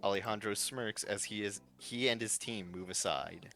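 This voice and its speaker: A man's voice: lowish voice, moderate pace, pushed and bright, soft background music. A man narrating a fan-written story live, reading from a script with small stumbles and repeats.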